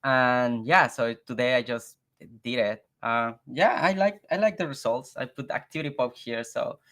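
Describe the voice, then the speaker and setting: Male guest, Mexican accent, native Spanish speaker, mid-range pitch, conversational, repeats words as he goes. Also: normal speed, a bit bright. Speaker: guest, software engineer. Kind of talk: casual interview chat.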